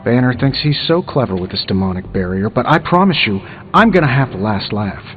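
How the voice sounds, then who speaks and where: A man's voice: mid-range voice, expressive, a little fast, close to an everyday voice. A man playing a cocky, impatient inventor superhero in a video game, with a glib, sarcastic way of talking. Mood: irritated sarcasm. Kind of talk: scripted video game acting. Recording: slightly dull band, clear music behind.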